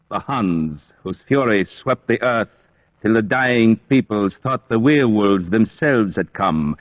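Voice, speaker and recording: Ancient vampire aristocrat, very slow delivery, low voice, formal, menacing courtesy, vintage radio drama, dull recording. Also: male voice. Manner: formal, grandiose, deliberate. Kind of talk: old-time radio drama acting.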